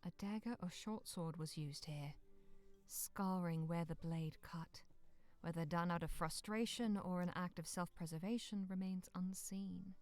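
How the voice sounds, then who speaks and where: A woman's voice: lowish voice, measured pace, a little mellow, faint music behind. A woman narrating a fantasy visual novel game in a solemn, literary manner as its storyteller.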